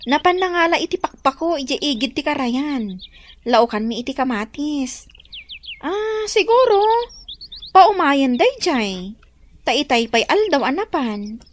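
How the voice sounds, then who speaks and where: An actress playing a worried young wife from a poor household in a radio drama, with an Ilocano accent. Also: female voice, high voice, big pitch swings, a little slow, long pauses, slight background noise. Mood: plaintive.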